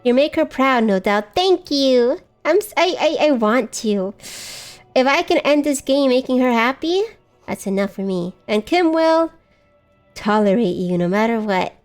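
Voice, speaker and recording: A young woman live-streaming a video game, giggly and animated as she reacts and chats. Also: high voice, measured pace, soft background music.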